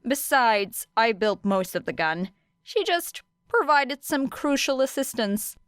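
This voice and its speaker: A woman's voice: fairly high pitch, animated, takes it slowly, fairly bright tone, clean tone, no echo, studio quality, voice pushed up. A woman voicing a brash, teasing teenage girl student with blunt, sarcastic delivery in an audiobook. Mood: irritated. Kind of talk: audiobook character dialogue.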